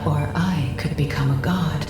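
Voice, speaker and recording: A woman playing a commanding mystic guide in a fantasy game, measured, grave and solemn. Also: low-pitched. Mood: grave resolve. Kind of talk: cinematic fantasy game acting. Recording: echoing hall with music behind.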